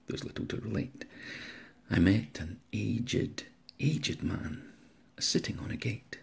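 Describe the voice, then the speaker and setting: A man's voice: on the low side, slow, gravelly, breathy edge, in a whisper. A man, an author speaking informally and reciting verse aloud. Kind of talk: informal introduction and dramatic poetry recital.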